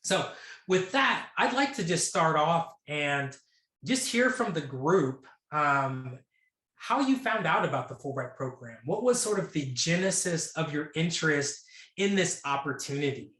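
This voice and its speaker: A man's voice: on the high side, lively intonation, measured pace, bright, forceful tone, echoey room. A man moderating a webinar panel over a video call, casual, with fillers and restarts.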